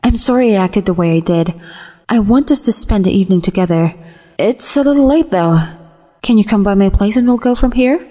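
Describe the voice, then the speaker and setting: A woman's voice: mid-range voice, expressive, fairly quick, mellow, close to an everyday voice. A woman voicing the shaken but outwardly composed heroine of a horror story, polite and conversational. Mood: apologetic, composed. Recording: long reverb, music underneath.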